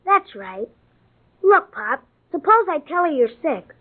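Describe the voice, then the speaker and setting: An anxious, stubborn American schoolboy, plaintive and earnest. The voice is high and very lively in its swings, heard through a thin, tinny old broadcast. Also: unhurried. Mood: pleading, anxious. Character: anxious, stubborn schoolboy son, pleading and interrupting. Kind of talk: mid-century radio comedy acting.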